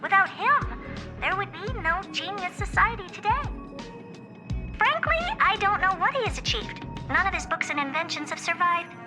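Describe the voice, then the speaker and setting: A woman's voice: extremely high pitch, animated, normal speed. A woman voicing a proud, eccentric, youthful female genius scientist in a video game, self-assured and theatrical, delivering scripted monologues. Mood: proud, matter-of-fact. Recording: music behind the voice. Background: music.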